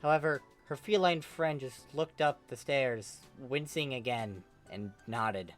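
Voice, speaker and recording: A reader narrating a comic fan fiction story in an expressive, emphatic way. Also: medium pitch, slow pace, faint music behind.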